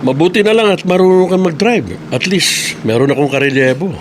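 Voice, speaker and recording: An actor playing a genial, easily tired boss in a radio drama. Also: male voice, on the high side, lively intonation, a little fast, close to an everyday voice. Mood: confiding. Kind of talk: Tagalog radio drama acting. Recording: clear car engine noise behind.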